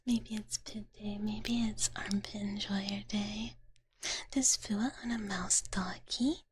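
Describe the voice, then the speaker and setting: Slow, whispering woman doing playful ASMR. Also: mid-range voice, fairly even intonation, dry recording. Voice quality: breathy whisper. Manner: playful, talks about herself in third person. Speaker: ASMR livestreamer. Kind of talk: whispered ASMR, casual chat to viewers. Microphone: close ASMR microphone.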